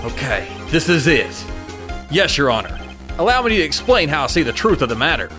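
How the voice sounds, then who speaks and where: A man's voice: on the high side, sing-song, fairly quick, bright, forceful tone. A man voicing an earnest young male defense lawyer, determined and pressing. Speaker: defense attorney. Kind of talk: fan dub of game dialogue. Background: game music.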